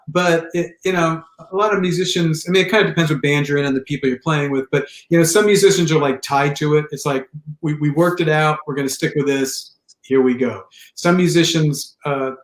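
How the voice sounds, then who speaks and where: A man co-hosting a podcast, who says he has a big voice all the time and talks loudly. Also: a little high. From Italian heritage. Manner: loud, big-voiced talker. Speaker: podcast co-host. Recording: roomy echo.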